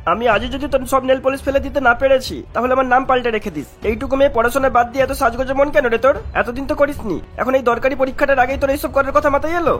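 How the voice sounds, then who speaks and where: A man's voice: very high voice, steady tone, fast, hardly any pauses, a bit bright, soft background music. A man voicing an angry, strict father scolding his teenager in a cartoon.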